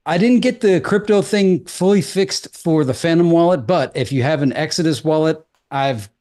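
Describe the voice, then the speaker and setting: Halting man chatting on a live stream. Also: a little high, mellow. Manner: halting, loose, conversational. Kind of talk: casual live-stream chat.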